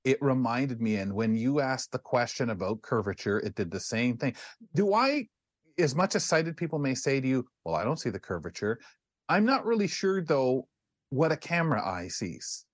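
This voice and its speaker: A man's voice: medium pitch, very animated, moderate pace, dry recording, clean studio sound. A man co-hosting a talk show, chatting casually and working through his questions as he speaks. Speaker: show regular, co-host. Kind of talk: casual conversational discussion.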